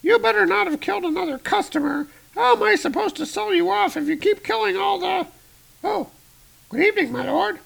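A man doing an old widow, shrill, gravelly, grovelling, wheedling, swoops up and down. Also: expressive, brisk, fairly bright tone, exaggerated voice, low-quality recording. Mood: irritated, fawning. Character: scheming old woman, poor widow selling hunting dogs.

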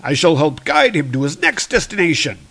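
A man's voice: on the high side, sing-song, moderate pace, bright, forceful tone, husky, full character voice. A man voicing a stern, lordly father of the gods from a comic book, speaking in a stately, disapproving way. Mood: stern satisfaction.

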